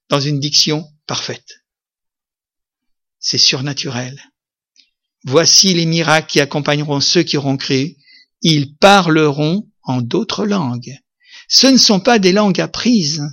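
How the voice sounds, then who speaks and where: A male pastor delivering a sermon in a somewhat high voice. He preaches emphatically, pushing up to loud, ringing peaks and then sinking back to quieter stretches. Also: lo-fi sound.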